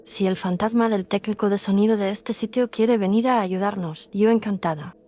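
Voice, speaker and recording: A woman's voice: medium pitch, moderate pace, mellow, close to an everyday voice. A woman playing a bold livestream host in a scripted horror story, with a matter-of-fact presenting manner. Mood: wry.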